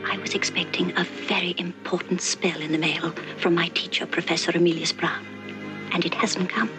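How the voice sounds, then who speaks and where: A prim and formal woman acted by a woman in a classic family film, speaking fairly low. Her delivery is crisp and condescending, as if to a child, over clear background music. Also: fairly even intonation, moderate pace, natural voice. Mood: prim, impatient. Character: prim woman briskly addressing a young boy.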